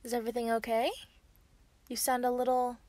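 A woman in an ASMR roleplay voicing an obsessive, sweetly possessive female werewolf, breathy and often dropping into a whisper. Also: fairly high pitch, flat delivery, mellow, no room sound, natural voice. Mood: falsely sweet. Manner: slow, teasing, giggly.

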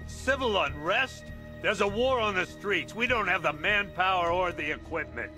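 A man's voice: high-pitched, moderate pace, sharp tone, soft background music, rough recording. A man playing a harried, blunt city lawman in a video game, emphatic and exasperated.

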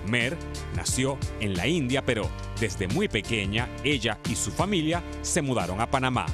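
A man voices a scripted TV news report in a polished delivery. His pitch moves animatedly across phrases. Music is clearly audible beneath him. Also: animated, moderate pace. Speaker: narrator of news package. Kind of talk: scripted narration.

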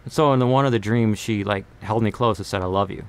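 A man's voice: lowish voice, quick pace, clean tone. A man in his thirties chatting casually and informally on a live stream, rambling a little, recorded close on a studio mic in a small bedroom. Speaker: live streamer and singer-songwriter. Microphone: large condenser mic on boom arm, close.

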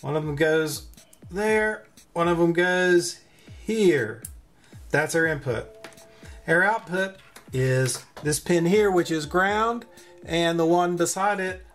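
A man's voice: a little high, slow, a bit bright, soft background music. A man hosting a hands-on electronics tutorial, talking informally and thinking aloud as he works. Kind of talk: casual narrated build demo. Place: indoor electronics workbench.

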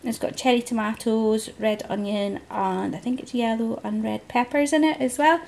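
A woman, chatting casually through a grocery haul voice-over, relaxed and full of ums. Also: fairly high pitch, moderate pace, gravelly. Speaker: vlogger.

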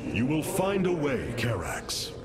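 Commanding, expressive alien leader. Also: male voice, mid-range voice, a little slow, very noisy. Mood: resolute. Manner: commanding, grave, formal. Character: resolute, noble male alien leader. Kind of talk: dramatic video game character acting. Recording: loud music behind.

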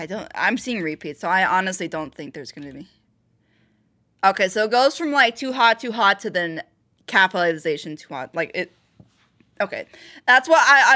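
A woman's voice: medium pitch, sharp tone. A woman hosting a reaction video, thinking aloud in rambling, casual commentary with bursts of exclamation.

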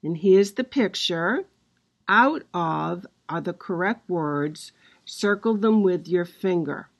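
Very slow, patient woman teacher. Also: lowish voice, animated, muffled recording. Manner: very slow, patient, drawn-out instructions. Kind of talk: guiding children through a worksheet.

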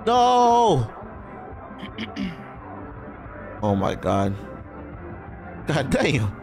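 A young man giving casual, excitable, sweary reaction commentary on a film, close on a studio mic. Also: fairly high pitch, extremely slow. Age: young adult. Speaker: reaction video host. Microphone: close studio mic on boom arm. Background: film music.

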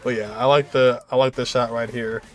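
A man in his twenties talks through a video as he watches it. His voice sits at a middle pitch, and his delivery is casual, off-the-cuff commentary from a reaction host. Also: fairly even intonation, moderate pace, faint music behind.

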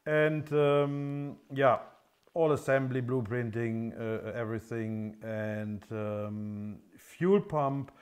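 A man in his fifties with a continental European accent, a non-native English speaker explaining things off the cuff in a halting, hesitant way as a workshop presenter. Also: medium pitch, darkish tone. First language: not English. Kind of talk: unscripted product explanation. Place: indoor engine workshop showroom.

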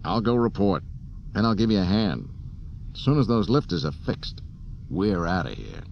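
A man's voice: low-pitched, sing-song, normal speed, creaky, voice pushed up, exaggerated voice. A man voicing a cocky, flippant young space smuggler in an audiobook. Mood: brisk. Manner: flippant, offhand, hesitant ums. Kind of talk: audiobook character acting. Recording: dull, hissy cassette transfer.

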